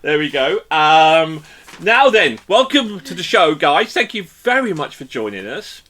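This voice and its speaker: A bright, fairly high-pitched man hosting a podcast, his voice swooping up and down as he teases his guests. He rambles through fillers and restarts in relaxed, jokey banter. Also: measured pace. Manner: playful and teasing, rambling with many fillers. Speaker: podcast host. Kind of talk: joking interview chat.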